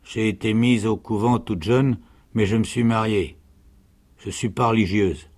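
Man playing a meek, halting young peasant mother. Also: lowish voice, fairly even intonation. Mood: frightened, hesitant. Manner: soft, hesitant, halting murmur. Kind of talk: dramatic literary reading.

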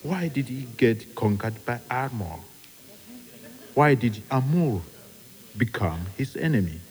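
A man's voice: medium pitch, lively intonation, slow, darkish tone, slightly rough. A man acting in a radio play as a solemn, grandiloquent speaker ceremoniously addressing travellers. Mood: grand, solemn. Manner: ceremonious, oratorical. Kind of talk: theatrical speech in a radio play. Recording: long hall reverb.

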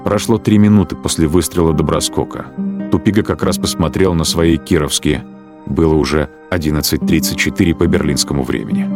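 A man with a very deep voice narrates a literary radio drama. His delivery is measured and sombre. Music is clearly present beneath his words. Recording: music bed under narration.

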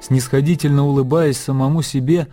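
A man narrating a literary radio play in a measured, composed storytelling manner. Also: medium pitch, steady tone. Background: music.

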